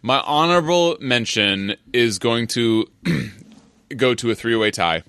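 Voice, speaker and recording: A man's voice: mid-range voice, expressive, slow, fairly bright tone, clean tone, no echo. A man rambling and teasing in a casual comics podcast chat. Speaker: podcast co-host.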